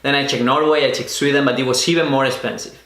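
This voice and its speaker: A man's voice: mid-range voice, steady tone, fairly bright tone, echoey room. Spanish-accented young man telling his story. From Spain. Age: 20s. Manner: earnest, unhurried storytelling with small restarts. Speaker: vlogger. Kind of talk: personal storytelling.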